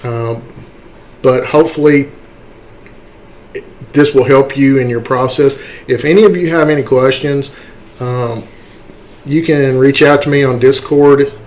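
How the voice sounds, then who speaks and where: A man's voice: mid-range voice, measured pace, pauses often, a little mellow, rough recording. A man giving a casual, unscripted tutorial in a folksy, self-deprecating way. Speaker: tutorial host. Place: indoors, voice-over to screen capture.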